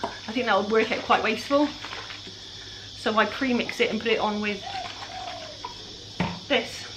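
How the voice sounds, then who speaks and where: A woman with a raspy, croaky voice that sits slightly high for her. She talks in a chatty, breathless way as she works, her sentences often fading off at the end. There is clear background noise behind her. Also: a little slow. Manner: chatty, often trails off quietly. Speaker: furniture flipper. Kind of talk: casual how-to chat while working.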